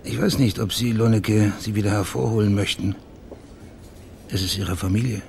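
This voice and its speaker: Male private investigator, radio crime play: low register, plain-spoken, probing, background ambience audible. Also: steady tone, normal speed, vocal fry, a little mellow, slight background noise. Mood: insistent. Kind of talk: German radio crime drama acting.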